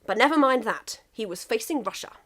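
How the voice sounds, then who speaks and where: Animated high-voiced woman narrating. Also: a little fast. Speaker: amateur fan fiction reader. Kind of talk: expressive comic story narration.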